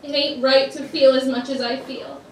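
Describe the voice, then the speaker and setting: A woman's voice: sits high, level tone, moderate pace, close to an everyday voice. A woman performing a stage monologue as a tormented, guilt-ridden woman, blunt and intense, in an echoey space. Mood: brooding dread. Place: competition stage, indoors. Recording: echoey stage, distant mic, faint noise.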